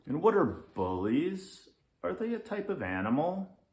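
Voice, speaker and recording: A man's voice: fairly high pitch, sing-song, a little slow, a little mellow, voice pushed up. A man voicing a curious, innocent little boy in a children's story, with a naive, questioning manner. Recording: roomy echo.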